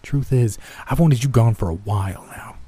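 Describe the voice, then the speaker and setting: A man voicing a bullying older male diner owner who yells, curses and sneers. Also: medium pitch, slow, slightly rough, exaggerated voice. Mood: furious. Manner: yelling, cursing, contemptuous.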